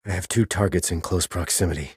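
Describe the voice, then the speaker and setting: An actor playing a terse, cool-headed sniper operative in a video game, with clipped, controlled military delivery and a low husky voice. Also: male voice, steady tone, fast, darkish tone, no room sound, studio quality. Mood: focused, controlled. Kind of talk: military radio chatter, game dialogue.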